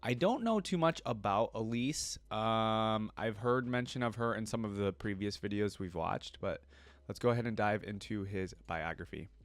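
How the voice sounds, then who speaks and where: A man's voice: fairly low pitch, moderate pace, no room sound. A man hosting a reaction video, reading lore aloud in a casual way with musing asides. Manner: musing asides between read-aloud passages.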